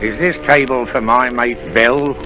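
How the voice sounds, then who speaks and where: An actor playing a sly wheedling pirate. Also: male voice, mid-range voice, steady tone, a little slow, natural voice, poor audio. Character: menacing sly old pirate, wheedling man. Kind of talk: 1930s radio drama acting. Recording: old radio broadcast with music.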